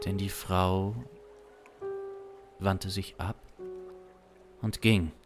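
A man in his thirties narrating an improvised audio drama deliberately, with long pauses, close on a studio microphone. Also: lowish voice. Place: small indoor studio. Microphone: close studio microphone with pop filter. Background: musical backing.

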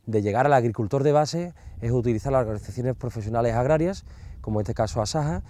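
A man's voice: medium pitch, fast talker, warm tone. A man in his thirties with a Spain accent, speaking in an interview as a farming association delegate. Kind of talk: on-location interview. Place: outdoors in open farmland. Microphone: lapel.

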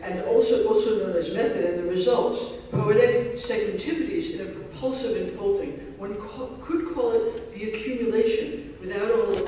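A man's voice: high-pitched, warm tone, a little hiss or hum. A man giving an academic keynote, reading a scholarly paper with dense phrasing and dry self-deprecating asides. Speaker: keynote speaker. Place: large lecture hall. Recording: echoey hall recorded from far off.

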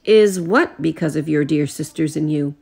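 A woman voicing a calm, proper male butler who speaks in a composed, dry, formal way. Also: low-pitched, moderate pace, vocal fry, husky, heavily acted. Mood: soothing.

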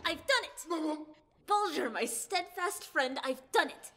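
A woman's voice: very high voice, lively intonation, slow, sharp tone, a little hiss or hum, heavily acted. A woman voicing a pompous, grandly self-assured boy scholar in an audio drama, theatrical and formal.

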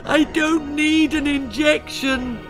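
Man putting on a shrill, squeaky frightened schoolgirl. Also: fairly even intonation, takes it slowly, music in the background, full character voice. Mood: desperate. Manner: wailing, pleading. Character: whiny frightened young schoolgirl feigning illness.

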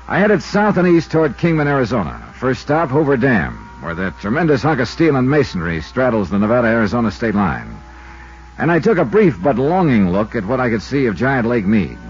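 Wry, lively 1950s radio detective played by an actor. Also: male voice, mid-range voice, fairly quick, natural voice. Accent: American. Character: wry, self-assured male freelance insurance investigator. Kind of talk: 1950s radio detective drama narration and dialogue. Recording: dull 1950s radio recording, loud music.

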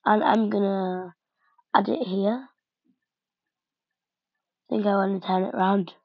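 Female gaming vlogger, slow and gravelly, hesitant think-aloud delivery, lo-fi close mic. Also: mid-range voice, steady tone, no room sound. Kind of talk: casual game commentary. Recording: dull lo-fi home mic.

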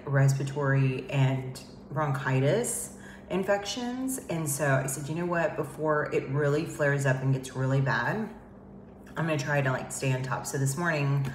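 A woman's voice: deep voice, slight background noise. A woman vlogging casually in a chatty, rambling way with lots of fillers, sounding congested from a head cold, speaking from an emptied home office. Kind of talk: casual chat to camera. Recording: echoey empty room.

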